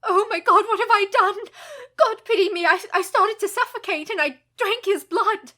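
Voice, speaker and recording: An actress playing a brave, distraught young wife in a radio play, intense, earnest and emotive. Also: female voice, extremely high pitch, moderate pace, sharp tone. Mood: anguished. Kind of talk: radio play dramatic acting.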